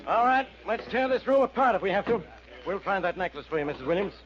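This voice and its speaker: An actor playing a gruff, exasperated police inspector in 1940s radio style, blunt and commanding. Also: male voice, sits high, expressive, quick pace, over loud music. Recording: dull old radio recording.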